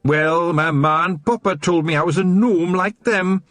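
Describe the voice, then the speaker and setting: A man's voice: sits high, measured pace, fairly bright tone, no echo, pitched above the performer's own voice. A man voicing a tiny Scottish fairy watchman, stubborn, earnest and easily indignant. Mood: gruff.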